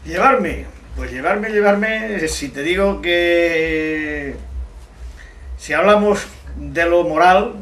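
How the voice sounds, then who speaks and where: A middle-aged or older man from Extremadura in Spain, answering interview questions deliberately, often rephrasing as he goes. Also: fairly high pitch, fairly bright tone. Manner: deliberate, halting, rephrasing as they go. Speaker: interviewee, technology teacher and amateur poet. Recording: roomy, distant mic, faint noise.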